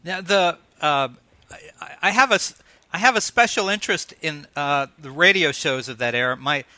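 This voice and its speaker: A middle-aged man hosting a conversational interview, asking questions in a halting, tentative way. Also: fairly high pitch, bright, forceful tone, no room sound. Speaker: interviewer, host.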